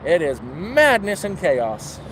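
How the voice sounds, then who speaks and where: A man's voice: a little high, wildly swooping pitch, takes it slowly. A man with a Toronto accent, chatting in a rambling way while he walks through the city. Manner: rambling, chatty, talks while walking outdoors. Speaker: vlogger. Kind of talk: casual walking vlog talk. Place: city street outdoors. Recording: faint street and traffic noise.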